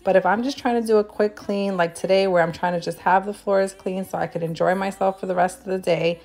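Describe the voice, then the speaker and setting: A woman's voice: medium pitch, steady tone, fairly quick, a little mellow. A woman who is a stay-at-home mom, chatting through a voice-over for her clean-with-me video. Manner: chatty, upbeat product talk with fillers. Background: faint background music.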